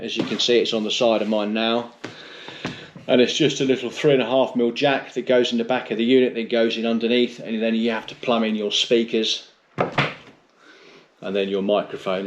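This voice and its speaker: A man's voice: on the low side, lo-fi sound, slight background noise. A middle-aged British man giving a casual, unscripted product review in a blunt, chatty, rambling way with mild swearing. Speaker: motorcycle vlogger reviewing gear.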